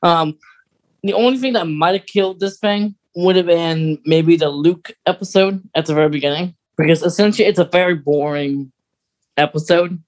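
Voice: mid-range voice, normal speed, poor audio. A podcast host chatting casually as an enthusiastic fan, often breaking off and restarting sentences.

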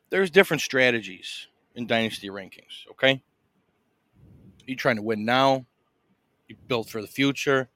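A man's voice: medium pitch, pushed and bright, dry recording. Chatty man with a dry sound. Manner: loose and chatty, with frequent fillers and laughs. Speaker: podcast co-host. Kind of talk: casual fantasy football chat. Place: in Boston, eating a meal while recording.

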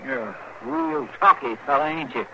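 An actor playing a folksy, grumbling deputy sidekick with a rural American drawl in a 1950s radio western. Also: male voice, fairly high pitch, wildly swooping pitch, moderate pace, creaky, sharp tone, highs cut off, heavily acted. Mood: homely, chatty. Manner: plain-spoken, countrified. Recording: noisy old 1950s radio recording.

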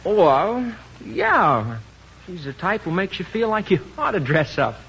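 Breezy, exasperated young husband, 1950s radio comedy, animated delivery, noisy vintage sound. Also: male voice, sits high, a little slow, vocal fry, muffled recording, full character voice. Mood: breezy, evasive. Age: young adult.

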